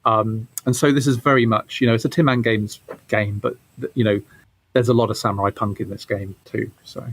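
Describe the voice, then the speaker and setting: A man giving casual interview answers as a game developer, halting and often repeating his words. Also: on the low side, steady tone, husky.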